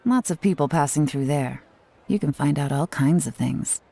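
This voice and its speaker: A woman's voice: deep voice, moderate pace, gravelly, very noisy. A woman playing a shrewd, composed female spymaster in a video game, poised and coolly commanding. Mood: assured. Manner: poised, assured, instructive. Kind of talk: English video game voice acting. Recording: music behind the voice.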